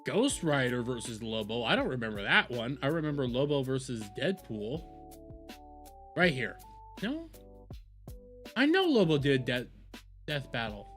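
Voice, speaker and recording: A man's voice: medium pitch, sing-song, a little slow, long pauses, fairly bright tone, faint music behind. A man doing casual live-stream commentary, rambling, repeating himself and swearing freely. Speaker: streamer reacting to videos.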